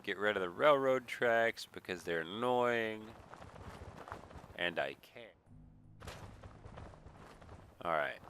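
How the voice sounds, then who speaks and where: A man giving casual, rambling let's play commentary, thinking aloud at an unhurried pace, slightly nasal with a mild sniffle. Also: on the low side, lively intonation, fairly bright tone, a little hiss or hum. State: mild sniffle from a recent cold. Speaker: gaming video creator, player.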